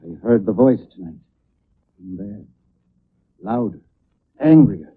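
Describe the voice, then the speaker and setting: A man's voice: fairly low pitch, expressive, takes it slowly. Suspicious old night watchman, tinny recording. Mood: ominous warning. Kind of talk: 1940s radio acting. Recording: tinny, hissy old radio broadcast.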